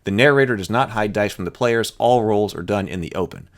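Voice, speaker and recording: A man's voice: lowish voice, a little fast. A man reading a tabletop game rulebook aloud as a tutorial host, explaining it and adding his own off-the-cuff remarks. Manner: explanatory, thinking aloud between passages. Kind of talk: read-aloud rules tutorial with off-the-cuff commentary.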